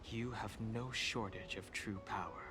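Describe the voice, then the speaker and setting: Low-voiced, solemn young male wanderer played by a man. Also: fairly even intonation, a little slow, music in the background, natural voice. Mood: solemn, reflective. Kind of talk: dramatic game voice acting.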